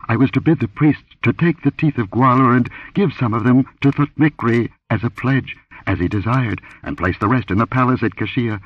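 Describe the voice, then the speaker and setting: A man's voice: medium pitch, normal speed, few pauses, slightly rough, dry recording, voice pushed up, close to an everyday voice. A man voicing a terrified young dancing girl who pleads tearfully and breathlessly in a pulp adventure story. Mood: anxious, explaining. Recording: dull lo-fi audio.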